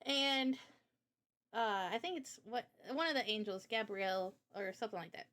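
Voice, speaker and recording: A woman's voice: medium pitch, moderate pace, fairly bright tone. A woman co-hosting a live Q&A in casual chat, with an informal, rambling way of telling stories. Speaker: co-host of a live Q&A.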